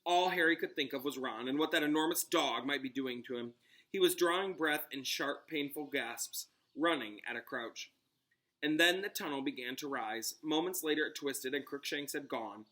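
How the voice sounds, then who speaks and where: A man's voice: fairly high pitch, moderate pace, bright, forceful tone. A man reading a storybook aloud as a teacher, with dramatic narration aimed at children. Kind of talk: expressive storybook read-aloud with asides.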